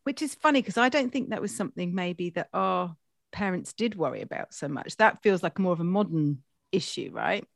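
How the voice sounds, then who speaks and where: A woman's voice: lowish voice, expressive, fairly quick, vocal fry, dry recording, clean studio sound. A middle-aged woman hosting a casual interview, with informal, tentative phrasing. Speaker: interview host. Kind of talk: casual chat.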